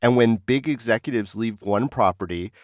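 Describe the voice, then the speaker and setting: A man's voice: mid-range voice, moderate pace, no room sound. Man, mid-range voice, podcast co-host, casual discussion, opinionated, dry recording.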